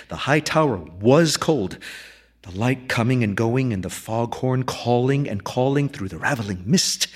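A man reading a literary short story aloud in a measured, dramatic storytelling manner, with deliberate pauses. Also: mid-range voice, slightly rough. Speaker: story reader.

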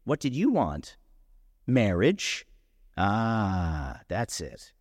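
A man's voice: fairly low pitch, wildly swooping pitch, drawn-out words, dry recording, studio quality, long reverb tail, exaggerated voice. A man voicing a self-righteous, wounded young man in a short story, declaiming a letter in a pompous, indignant, oratorical manner.

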